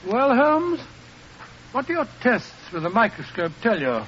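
An actor playing a detective's loyal, puzzled companion, earnest and questioning, in a radio drama. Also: male voice, very high-pitched, big pitch swings, measured pace, fairly bright tone, soft background music, heavily acted. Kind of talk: old radio drama acting. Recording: muffled old radio recording, faint noise.

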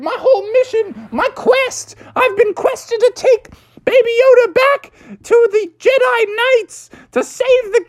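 A woman's voice: a little high, darkish tone, voice pushed up. A woman voicing a space bounty hunter who turns frantic and boastful, in an exaggerated, rambling manner.

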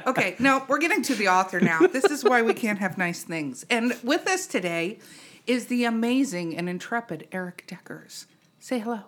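A woman's voice: on the high side, lively intonation, normal speed, fairly bright tone. A woman hosting a casual podcast interview, irreverent and teasing, swearing freely. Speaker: podcast host.

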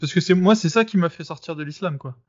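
A man hosting a podcast, arguing in a casual debate and often pausing with fillers and restarts. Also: fairly high pitch, no room sound, highs cut off. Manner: hesitant, many fillers and restarts. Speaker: podcast host.

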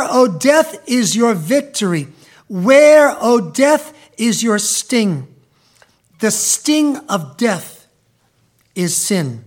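Slow, high-voiced pastor, native Italian speaker. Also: male voice. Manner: slow, emphatic reading building to loud proclamation; quiet, soft prayer voice. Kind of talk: sermon with scripture reading and prayer. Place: church building.

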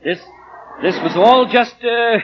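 An actor playing a pompous, flustered, henpecked boss who stammers, in 1940s radio acting. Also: male voice, extremely high pitch, takes it slowly, muffled recording, slight background noise. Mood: flustered bluster. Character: blustering, henpecked male boss who gives in. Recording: 1940 radio broadcast.

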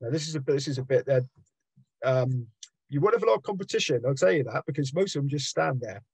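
A man interviewing casually, often halting and rephrasing his questions. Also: medium pitch, animated, husky, dry recording, poor audio.

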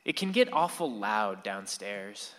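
A man's voice: fairly low pitch, wildly swooping pitch, takes it slowly, a bit bright, heavily acted. An actor playing an angst-ridden sixteen-year-old boy who narrates a radio play in a reflective way. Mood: wistful, reflective. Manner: reflective, storytelling to the listener. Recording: reverberant hall.

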